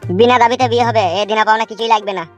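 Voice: medium pitch, fairly even intonation, racing, soft background music, natural voice. A voice actor playing a naive, stubborn and boastful teenage boy suitor in a comic cartoon. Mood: earnest, boastful. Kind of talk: comic cartoon dialogue in rural speech.

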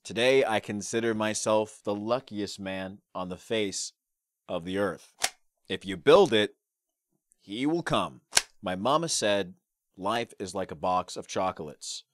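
A young man performing classic movie characters in short, deadpan, theatrical one-liners. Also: on the low side, unhurried. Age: young adult. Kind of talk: amateur impressions of film quotes. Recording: clearly noisy background with clicks.

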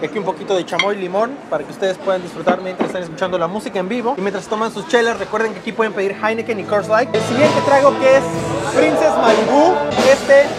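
A man's voice: high voice, fairly even intonation, fast talker. A Mexican man in his thirties hosting a casual food review to camera in an informal, enthusiastic way. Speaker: food show host. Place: busy pub. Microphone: lapel. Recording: loud music and crowd, roomy public space. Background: loud music, crowd chatter.

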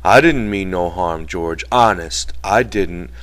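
A man voicing a big, gentle, simple-minded man in a storybook reading, with childlike, pleading phrasing. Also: low voice, slow pace.

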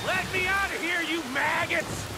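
An actor playing a brash, unhinged outlaw villain with an American accent and a sneering manner in a western video game. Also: male voice, extremely high pitch, fairly even intonation, measured pace, very noisy. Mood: urgent. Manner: sneering, insulting, cocky. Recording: loud music behind the dialogue.

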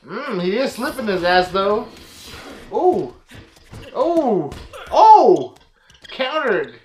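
A young man talks through an anime reaction in loose, swearing slang, his voice sitting high and his pace unhurried. He's on a headset mic in a small room that leaves an audible echo, with faint noise underneath. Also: big pitch swings, a little mellow. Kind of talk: casual reaction commentary. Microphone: gaming headset.

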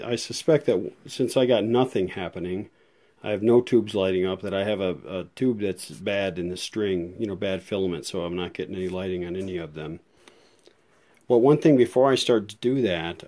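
Low-voiced man talking through a repair. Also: a little mellow. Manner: thinking aloud, informal, tentative while inspecting. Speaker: vintage radio restorer, video host. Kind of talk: casual hands-on demonstration narration.

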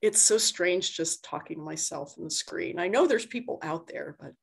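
A woman's voice: fairly low pitch, lively intonation, moderate pace, creaky, breathy edge. A woman giving an informal lecture over a video call, conversational and explaining things with everyday analogies. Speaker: university lecturer giving a talk. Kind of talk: informal slide lecture and answers. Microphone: video call computer microphone.

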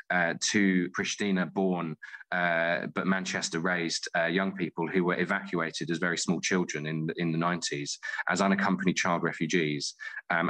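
A man with a deep, bright voice talks as an interview guest. He is articulate and thoughtful, explaining things in a conversational, storytelling way. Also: low-quality recording.

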